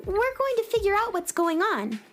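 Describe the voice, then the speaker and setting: A woman's voice: very high-pitched, sing-song, normal speed, fairly bright tone, full character voice. A woman playing a kind, curious young space heroine in a children's radio drama, polite and earnest. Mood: kindly.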